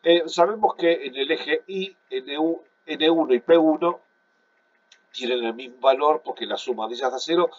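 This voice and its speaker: A man's voice: on the high side, normal speed, lo-fi sound. A man, congested and coughing, explaining a physics problem in a recorded online class at his computer. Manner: steady explanatory, many fillers and restarts. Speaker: physics teacher. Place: at home at a computer.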